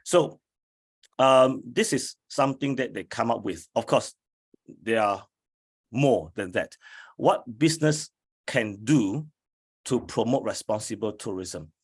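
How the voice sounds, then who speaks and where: A man, an academic, giving a slide lecture in a hoarse voice, speaking deliberately with many fillers. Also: mid-range voice. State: hoarse from flu.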